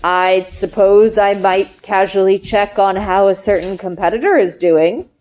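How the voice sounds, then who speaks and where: A woman voicing a kind, steady father in a storybook, speaking in a gentle, reassuring manner. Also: medium pitch, measured pace, lightly acted, rough recording.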